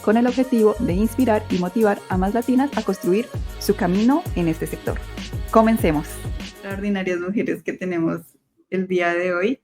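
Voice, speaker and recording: A woman's voice: lowish voice, a little mellow. A woman hosting a podcast, opening the show and asking friendly questions. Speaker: podcast host.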